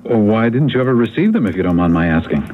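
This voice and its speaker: A courteous, practical husband in a radio play. His voice is on the low side, with a polite, tactful manner. The recording is tinny and carries faint noise. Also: sing-song, fast talker. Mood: puzzled, polite. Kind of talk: radio drama acting. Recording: tinny, noisy old radio broadcast.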